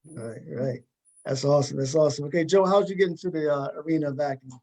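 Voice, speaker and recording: A man's voice: fairly high pitch, quick pace, a little mellow. A man hosting a talk show, asking questions in a casual interview style.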